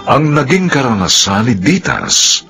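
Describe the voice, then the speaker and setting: A man's voice: medium pitch, big pitch swings, a little slow, sharp tone. A man announcing a radio drama and its credits in a dramatic, sweeping delivery. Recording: theme music under voice.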